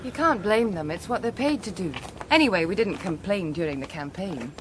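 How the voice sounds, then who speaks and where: A lively, confident new politician. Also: female voice, on the low side, brisk, a little hiss or hum. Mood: brisk. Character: confident, brisk newly elected female politician. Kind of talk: radio drama dialogue.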